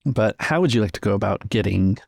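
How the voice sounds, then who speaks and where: A man's voice: lowish voice, expressive, a little fast, gravelly, dry recording, clean studio sound. A man improvising narration as the game master of a tabletop roleplaying game.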